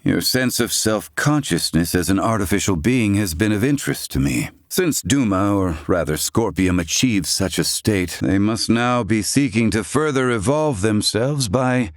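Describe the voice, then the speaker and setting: A male actor voices a proud, gruff older inventor from a fantasy video game. The voice is low and swings widely in pitch, recorded dry and close. The phrasing is haughty, formal and old-fashioned. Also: animated, normal speed, few pauses, no room sound, natural voice. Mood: grave, questioning. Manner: formal, archaic, haughty diction. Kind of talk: scripted video game voice acting.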